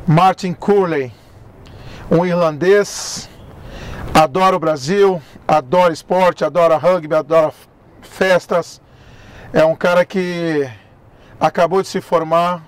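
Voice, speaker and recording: A friendly Brazilian man with a marked Brazilian Portuguese accent runs a casual interview. His voice sits a bit high, and his English halts and restarts as he asks his questions. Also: fairly even intonation, a little slow, pauses often.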